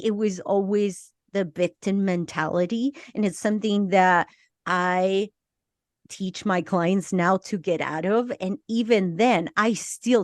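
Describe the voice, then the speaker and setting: A middle-aged woman from Argentina with a slight Argentine Spanish accent, telling her life story as a podcast guest in a slow, reflective way. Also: mid-range voice, no echo, clean studio sound. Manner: slow, reflective, with small laughs and restarts. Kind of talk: personal life story in interview.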